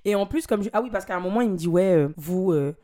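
A young woman from French Guiana with a French Guianese accent, hosting a podcast and telling a story in animated, indignant casual chat. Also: mid-range voice, quick pace. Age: young adult. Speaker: podcast host.

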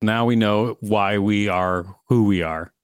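A man's voice: on the low side, fairly even intonation, measured pace, clear, resonant tone, dry recording, studio quality. A man co-hosting a podcast, reading an encyclopedia article aloud with playful asides. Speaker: podcast co-host.

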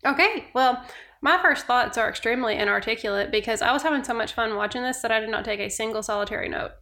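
A woman co-hosting a podcast in relaxed, everyday conversation. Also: on the high side, fast. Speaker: podcast co-host. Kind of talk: casual chat.

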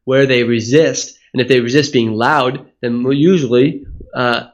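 A man's voice: medium pitch, fairly even intonation, a little slow, muffled recording. A man from Hawaii, a speaking coach, giving earnest, reflective interview answers that explain his ideas at length. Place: Australia.

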